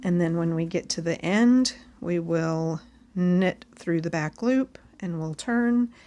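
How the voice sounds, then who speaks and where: A woman hosting a casual knitting tutorial, chatting along as she knits and a bit hoarse from losing her voice. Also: fairly low pitch, slow pace, mellow. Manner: chatty and friendly, talks while knitting.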